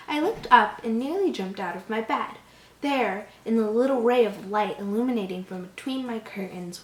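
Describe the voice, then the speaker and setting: A woman voicing a frightened young boy who recounts an attack in a hushed, dramatic storytelling manner. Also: fairly high pitch, far from the mic, lightly acted. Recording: echoey room.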